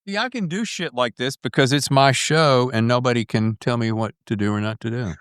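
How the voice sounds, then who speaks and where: A man from the US South hosting a podcast in casual interview chat, animated and rambling with folksy slang. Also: medium pitch, fairly quick, clean tone, no echo, clean studio sound. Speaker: podcast host.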